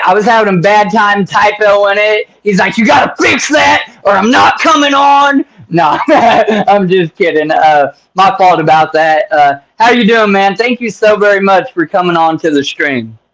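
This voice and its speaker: A man with a high voice hosting a loose, casual interview. He jokes and rambles, with plenty of fillers between questions. His voice sits well above most men's. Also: measured pace, hardly any pauses, a bit bright, rough recording. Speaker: interview host.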